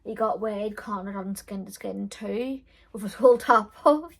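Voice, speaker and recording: A young woman recounts her own story in a loose, chatty vlog manner, peppering it with fillers. Her voice is loud, with a gravelly, creaky edge running under mid-range pitch. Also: moderate pace. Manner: chatty, frank, with frequent fillers and laughs. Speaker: beauty vlogger. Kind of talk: casual storytelling.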